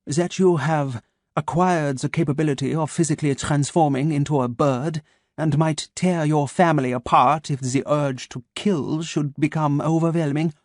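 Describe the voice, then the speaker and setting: Clinical German scientist, middle-aged, measured and menacing, read by a male narrator; dry booth. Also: fairly high pitch, darkish tone, no echo, lightly acted. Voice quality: crisp, clipped. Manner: slow, measured, coldly formal.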